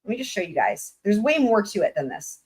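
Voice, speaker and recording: A woman hosting a casual livestream, excited and rambling, her voice going hoarse. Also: medium pitch, brisk. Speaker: livestream host.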